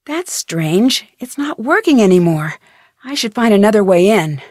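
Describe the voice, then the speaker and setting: A woman with an American accent playing a plucky, curious teenage girl detective in a video game, thinking aloud in a clear, chipper way. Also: medium pitch, big pitch swings, moderate pace, darkish tone, no echo, clean studio sound. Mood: thoughtful, determined. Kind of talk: scripted video game voice lines.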